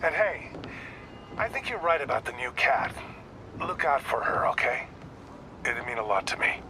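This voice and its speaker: A man's voice: mid-range voice, very animated, normal speed, sharp tone, a little hiss or hum, rough recording. A man voicing an older police detective in a video game, world-weary, guarded and matter-of-fact in his talk. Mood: guarded, somber.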